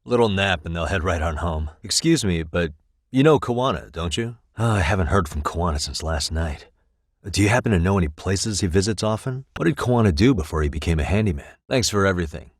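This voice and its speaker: An actor playing a composed, determined male detective in a video game, speaking in a measured, probing way with dry wit. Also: low-pitched, animated, no echo. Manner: measured, probing, dryly wry questioning. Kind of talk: English video game voice acting.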